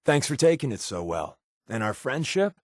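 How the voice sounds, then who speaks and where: An actor playing a wry, secretive undercover rebel agent in a video game, with casual, sardonic, slangy delivery and a husky voice. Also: male voice, medium pitch, very animated, normal speed, no echo, studio quality. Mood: earnest. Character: wry, secretive undercover rebel agent, adult man, easygoing but guilt-ridden. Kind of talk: conversational video game character dialogue.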